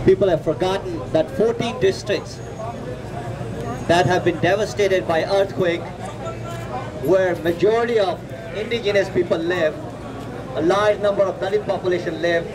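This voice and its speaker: A middle-aged man with a Nepali accent giving an earnest political speech, emphatic and deliberate, repeating words for stress. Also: high-pitched, poor audio. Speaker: activist speaker at a rally. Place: outdoor city plaza at night. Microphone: podium microphone. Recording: outdoor street noise with passing traffic. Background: street traffic and urban ambience.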